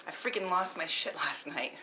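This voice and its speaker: A frazzled, wry mom in her late thirties who is out for payback, played by a woman. It is a short-film table read with an everyday delivery. The recording has clear background noise and some clicking. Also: deep voice, normal speed, lightly acted. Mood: venting. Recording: noisy room with clicks.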